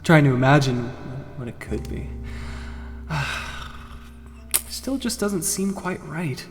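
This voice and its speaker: An actor playing a fussy, hesitant male client in an audio drama, speaking haltingly. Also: fairly high pitch, animated, over music. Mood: wistful, doubtful.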